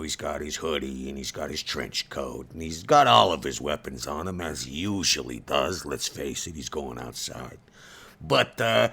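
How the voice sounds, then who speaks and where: A man playing in a tabletop role-playing game, describing his character's outfit in a relaxed, halting way. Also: deep voice, creaky. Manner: relaxed, hesitant, lots of fillers. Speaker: player.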